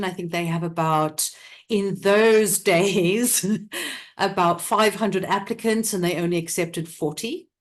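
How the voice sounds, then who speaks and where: A middle-aged woman from South Africa, talking casually as an interview guest, chatty and quick to laugh, with many restarts and fillers. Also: mid-range voice. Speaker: interview guest, theatre company director.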